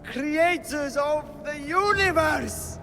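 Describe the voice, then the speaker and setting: Theatrical, manic would-be god; slow, very high delivery. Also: male voice, animated, big-room sound, heavily acted. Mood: ecstatic. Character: eccentric man who claims to be a god. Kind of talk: video game cutscene acting. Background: orchestral game music.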